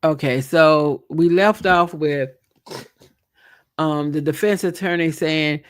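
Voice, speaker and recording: A man's voice: a little high, slow pace, fairly bright tone, clear, resonant tone, no echo, studio quality. A man hosting a live stream, chatting casually and thinking aloud with a congested, nasal voice. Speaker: livestream host. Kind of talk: live commentary on a court trial.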